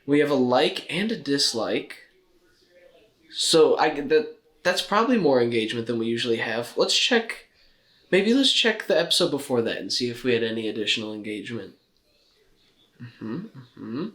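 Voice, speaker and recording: A man's voice: medium pitch, animated, normal speed, fairly bright tone. A young man co-hosting a podcast in casual chat, with dry, self-mocking humor. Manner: dry, joking self-mockery. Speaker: podcast co-host. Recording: roomy, echoey room.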